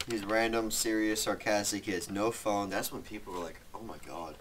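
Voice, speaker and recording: A man's voice: lowish voice, steady tone, fairly quick, a bit bright. A young man in casual banter as a video co-host, rambling and joking as he reads out notes. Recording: noisy, distant microphone with clicks.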